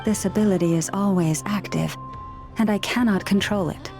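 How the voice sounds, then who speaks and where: A woman playing a gentle young woman who understands animal speech, soft and patient with a quiet wry edge. Her pitch sits in an ordinary middle range. Faint game music hums behind her. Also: normal speed, natural voice. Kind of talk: game character dialogue.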